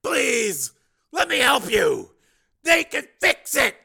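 Man acting out a cyborg dad in a sci-fi story, pleading and yelling urgently, pitched up and sharp. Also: huge pitch swings, slow, gravelly, breathy edge, exaggerated voice. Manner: urgent, shouting pleas and orders. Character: desperate, pleading cyborg man, a protective father.

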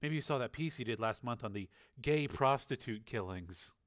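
A man voicing a quick-witted, nervy woman TV reporter in an audiobook, soft-spoken and smooth-talking. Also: mid-range voice, expressive, natural voice. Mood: composed.

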